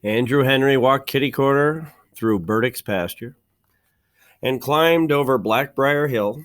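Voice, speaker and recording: A man reading a children's storybook aloud like a teacher, with deliberate phrasing, dramatic pauses and emphasis. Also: medium pitch. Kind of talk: expressive children's storybook narration.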